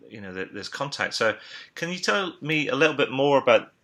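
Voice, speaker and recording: A man's voice: medium pitch, big pitch swings, fairly quick, a bit bright. A man hosting a business podcast, asking long, conversational interview questions. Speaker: podcast host.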